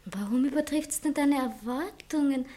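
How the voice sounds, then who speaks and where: An actress playing a self-assured, coy society bride with an Austrian accent in a 1960s radio play, quick to take offence. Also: female voice, sits high, warm tone, natural voice. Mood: defensive. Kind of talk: 1960s German-language radio play acting.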